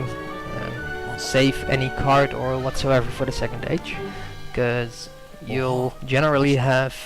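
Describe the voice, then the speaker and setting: A man's voice: mid-range voice, gravelly, poor audio. A young Dutch-native man giving hesitant gameplay commentary, full of ums and self-corrections.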